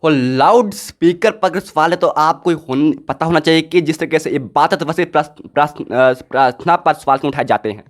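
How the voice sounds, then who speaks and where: A man putting questions as an interviewer, slightly halting in his phrasing. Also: on the high side. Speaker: interviewer, news anchor.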